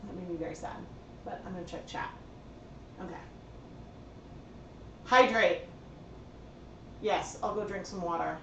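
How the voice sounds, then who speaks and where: A slightly hoarse woman hosting a casual livestream tutorial, chatty and thinking aloud with plenty of fillers. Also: fairly low pitch, unhurried, pauses often, dull audio, background noise. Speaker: livestream host dyeing yarn. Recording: roomy sound from a distant mic.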